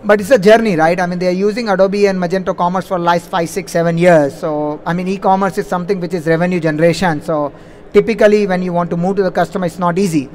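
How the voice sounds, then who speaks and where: A man's voice: sits high, steady tone, quick pace, darkish tone. A man answering questions as a conference speaker on stage, talking wordily and padding sentences with a habitual "like, you know". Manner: wordy, repeats "like, you know" as a verbal habit. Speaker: conference speaker, software implementation consultant. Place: on stage in a large conference hall. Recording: faint background noise, live event.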